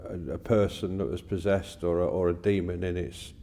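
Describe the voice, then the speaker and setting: A plain-spoken man talking haltingly in a casual discussion of faith, recorded with long reverb. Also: low voice, flat delivery, dark tone, a little hiss or hum.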